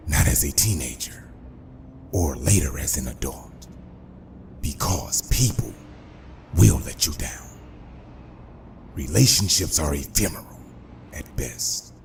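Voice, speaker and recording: An actor playing a suave, menacing middle-aged crime boss in a motion comic, speaking pompously and deliberately in a gravelly voice. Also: male voice, very deep voice, a little hiss or hum, heavily acted.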